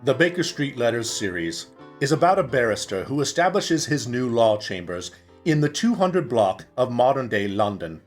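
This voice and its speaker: A man narrates fiction like an audiobook actor, in a mid-pitched voice. His delivery swings expressively up and down, with measured, dramatic phrasing. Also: sing-song, faint music behind. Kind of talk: scripted story narration.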